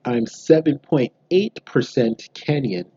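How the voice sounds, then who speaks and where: A man in his thirties from Canada, talking casually to camera at home about his results, explaining in a relaxed way with frequent ums and restarts. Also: medium pitch, slow, slightly rough, no room sound, lo-fi sound. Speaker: genealogy vlogger. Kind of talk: casual direct-to-camera vlog. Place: small bright room indoors, seated at home.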